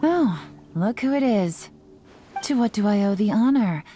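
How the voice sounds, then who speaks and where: A woman acts out a young female intelligence agent from a video game, sly and sure of herself. Her voice is mellow and relaxed at a medium pitch. She speaks in an unhurried, coy, teasing manner, with faint music behind her. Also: lightly acted. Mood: coy, playful. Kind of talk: scripted video game character voice lines. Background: soft music.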